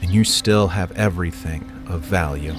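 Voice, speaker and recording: A man voicing a calm, gentle, philosophical wanderer in an audio story. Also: sits low, over loud music, natural voice. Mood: reassuring. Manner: calm, measured.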